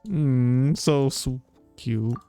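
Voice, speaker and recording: A woman's voice: a bass voice, drawn-out words, dark tone, soft background music. A woman streaming a game, giving casual, offhand reaction commentary.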